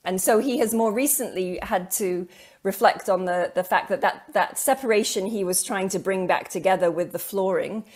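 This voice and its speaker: A woman's voice: medium pitch, normal speed. A middle-aged woman giving an academic lecture on art over slides, thoughtful and hesitant, with many ums and repeated words, on a video call. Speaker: keynote lecturer.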